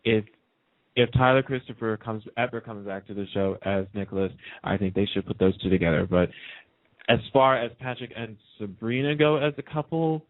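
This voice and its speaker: Male radio host, lowish voice, gossipy and opinionated casual chat, very narrow phone-like sound. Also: moderate pace, no room sound. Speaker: radio talk show host. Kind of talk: casual chat about TV soaps.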